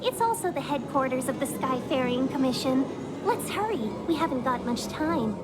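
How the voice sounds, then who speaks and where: Lively, high-voiced courteous fox-woman guide. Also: moderate pace, over loud music. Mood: courteous urgency. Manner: polite, formal, hospitable. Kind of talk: video game voice acting.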